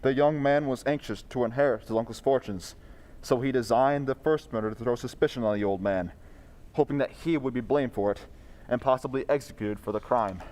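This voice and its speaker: A man's voice: mid-range voice, fairly quick, a little mellow, natural voice. An actor playing an authoritative male crime-fighting vigilante in an old-time radio drama. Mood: calm, explanatory.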